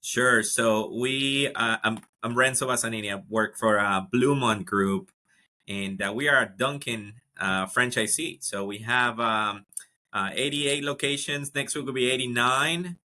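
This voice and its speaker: A man's voice: mid-range voice, a little slow, bright, forceful tone. A man from Ecuador, a guest telling his career story in a casual interview, with lots of fillers and restarts. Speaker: guest, HR executive.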